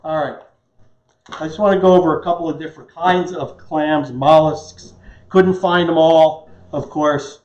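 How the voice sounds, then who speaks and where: A man's voice: a little high, fairly even intonation, a little slow, darkish tone. A man in his fifties hosting a live cooking demonstration in a casual, conversational, joking way. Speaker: chef and cooking show host. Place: home kitchen, indoors. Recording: echoey kitchen, dull muffled sound.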